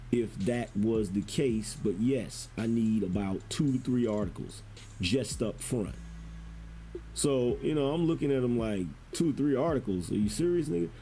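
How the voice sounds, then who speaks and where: A man's voice: mid-range voice, mellow, a little hiss or hum. A man casually recounting conversations in the first person, informal and slangy with some profanity. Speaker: internet commentator.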